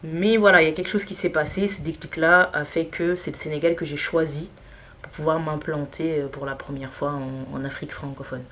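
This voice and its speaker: A woman's voice: sits low, steady tone, fairly quick, fairly bright tone, a little hiss or hum. A woman in her thirties, a consultant guest on a podcast, talking with many hesitations and fillers. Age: 30s. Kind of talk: casual interview.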